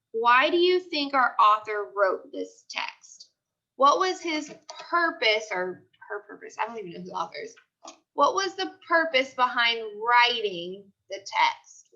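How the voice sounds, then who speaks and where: A woman's voice: a little high, pushed and bright. A woman teacher reading a story aloud and questioning pupils in an instructional way, often repeating her phrases. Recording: dull lo-fi audio.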